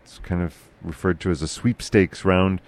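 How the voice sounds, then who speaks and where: A man hosting a casual local podcast chat, with a loud, carrying voice, talking haltingly with many fillers and restarts. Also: sits low, steady tone. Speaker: podcast host.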